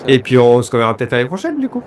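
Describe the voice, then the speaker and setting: A man's voice: medium pitch, huge pitch swings, very fast, slight background noise. A man interviewing an artist in a friendly, informal way, often repeating words as he asks questions. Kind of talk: casual interview at an art fair.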